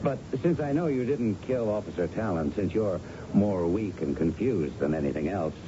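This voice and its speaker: An actor playing a shrewd, confident police lieutenant who presses a suspect methodically and knowingly, in old-time radio drama style. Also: male voice, low voice, expressive, normal speed. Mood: firm, knowing. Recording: muffled, noisy vintage radio recording.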